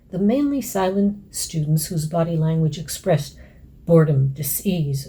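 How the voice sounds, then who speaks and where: Mellow, husky, lowish-voiced woman reading. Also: poor audio. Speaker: academic author and lecturer. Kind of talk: reading aloud scholarly essay.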